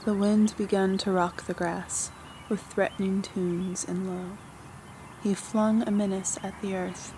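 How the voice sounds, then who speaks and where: A woman reciting a poem with measured, deliberate phrasing, line by line. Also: a little mellow. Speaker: poetry reader. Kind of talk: poetry recitation. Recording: clearly noisy, ambient background rumble.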